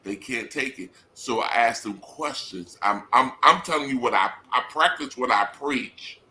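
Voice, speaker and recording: A man with a deep bellowing voice, a parent giving emphatic advice in a casual panel discussion. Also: moderate pace, bright, forceful tone.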